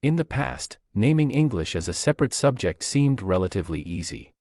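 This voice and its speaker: A man's voice: low voice, a little mellow, no room sound, clean studio sound. A man reading a scripted passage aloud as narration.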